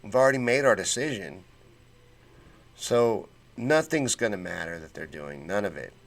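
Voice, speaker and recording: Fired-up low-voiced man ranting on a livestream. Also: animated, moderate pace, creaky. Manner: blunt and fired-up, folksy slang. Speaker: political livestream host. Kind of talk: casual livestream commentary reading chat.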